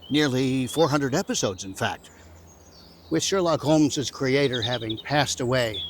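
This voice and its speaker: A man hosting an audio drama, reading scripted narration emphatically with deliberate dramatic pauses. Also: mid-range voice, a little hiss or hum. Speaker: host introducing an audio drama.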